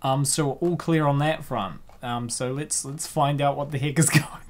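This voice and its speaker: A young adult hosting a comic dub with casual reaction commentary, excitable, rambling and full of slang. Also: medium pitch, moderate pace.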